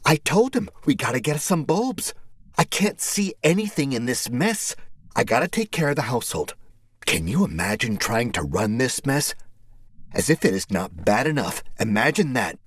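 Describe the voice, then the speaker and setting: A man's voice: medium pitch, very animated, normal speed, husky, no echo, voice pushed up. A man voicing a flirty, chatty woman neighbor who complains in a theatrical, nagging, exclamatory way. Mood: exasperated, indignant.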